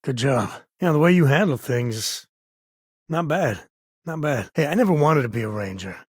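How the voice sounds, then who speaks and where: An actor playing a rugged space-ranger father in a video game, with a husky, raspy voice and a wry, earnest, conversational manner. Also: medium pitch, expressive, moderate pace, no echo, studio quality. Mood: energized, alert. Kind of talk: video game character voice lines.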